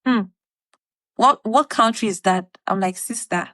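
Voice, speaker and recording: Animated Cameroonian woman in her twenties. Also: a little high, a little slow, no echo. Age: 20s. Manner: animated storyteller, acts out quoted dialogue. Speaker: podcast co-host. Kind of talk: casual chat.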